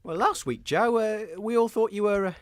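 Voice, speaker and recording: A performer playing an awkward radio interviewer in a comedy audio drama, halting and tactful. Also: medium pitch, no room sound, studio quality, natural voice. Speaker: show host.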